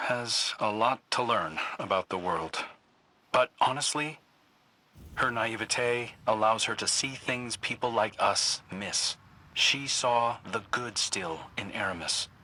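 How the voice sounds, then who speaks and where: Husky, intense man. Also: lowish voice, slow pace, bright, forceful tone, full character voice, rough recording. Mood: reflective. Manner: plain-spoken, sincere. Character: regretful, reassuring male mentor with a troubled past. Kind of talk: video game dialogue acting.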